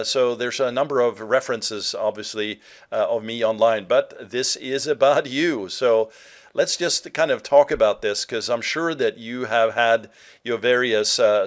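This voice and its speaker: A man from Norway presenting an online marketing webinar in a conversational, somewhat halting way with frequent fillers. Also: medium pitch.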